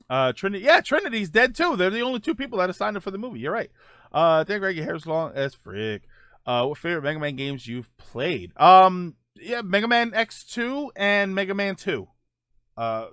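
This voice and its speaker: A man's voice: a little high, fairly bright tone, no room sound, studio quality. A middle-aged man hosting a casual live stream, answering viewer questions in a rambling way with many fillers and restarts.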